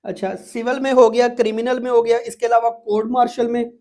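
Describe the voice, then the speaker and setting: A man's voice: extremely high pitch, flat delivery, fairly quick, a little mellow. A man with a Pakistani accent giving an exam-prep lecture, explaining step by step as a teacher and often repeating points with little check-in words.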